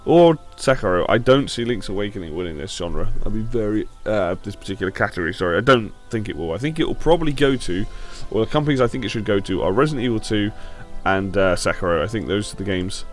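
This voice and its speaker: A man hosting a gaming show with casual, blunt opinion commentary, slightly croaky from a sore throat. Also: fairly low pitch, animated, fast, few pauses, faint music behind.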